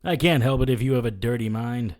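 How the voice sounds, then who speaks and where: An actor playing a cocky, sarcastic hardboiled supernatural detective, narrating and trading defiant lines in a noir audio drama. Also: male voice, mid-range voice, brisk, darkish tone, lightly acted. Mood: cocky taunting.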